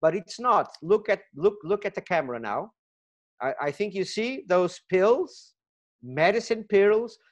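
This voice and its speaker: A man's voice: high voice, animated, measured pace. A middle-aged man with a Portuguese accent, a Portuguese native speaker giving an earnest webinar lecture over a webcam, with many fillers and restarts. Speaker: gemology lecturer.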